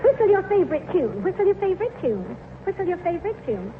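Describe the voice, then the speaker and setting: A woman's voice: very high voice, sing-song, fast. A woman playing an eager bird lady who insistently coaxes her pet to perform, in a 1940s radio comedy style. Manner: insistent, repetitive coaxing. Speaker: guest bird trainer. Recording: very noisy, tinny 1940s radio recording.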